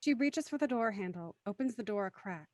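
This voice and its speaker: A woman narrates an audio drama from a script, setting out actions and scenes. Her voice has an average female pitch and is recorded very dry, with no room around it. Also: lively intonation, brisk, darkish tone, no room sound.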